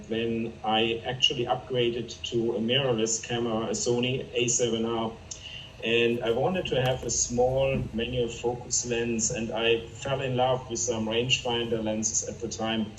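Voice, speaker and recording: A man with a German accent, a guest photographer answering interview questions in an earnest, halting way with many fillers. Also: medium pitch, fairly bright tone, slight background noise. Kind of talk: video call interview. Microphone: video call. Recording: roomy, distant video call audio.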